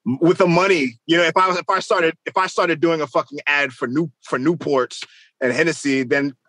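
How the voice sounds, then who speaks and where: A man's voice: a little high, a little fast, sharp tone, no room sound. Casual New man with a dry sound. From Queens, New York, United States. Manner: slangy, profane, loose and conversational. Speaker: interview guest, rap musician. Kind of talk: casual interview chat.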